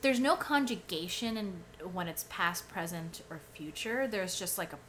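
A woman's voice: on the low side, lively intonation, normal speed, a bit bright, slight background noise. A woman co-hosting a podcast from home, chatting casually, laughing often and filling with "like". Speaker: podcast co-host. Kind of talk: casual chat.

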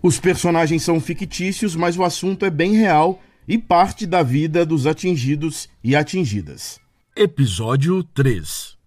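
Male programme narrator, Brazilian accent, somewhat high-pitched, scripted radio delivery with a music bed. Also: lively intonation, a little slow, a little mellow.

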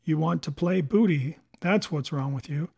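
A man's voice: on the high side, normal speed, gravelly, dark tone, breathy edge. A man voicing an insolent, accusing pirate mutineer in a storybook, with blunt rustic sailor's talk. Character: rebellious, accusing long lean pirate mutineer George Merry. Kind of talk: dramatic audiobook character voice.

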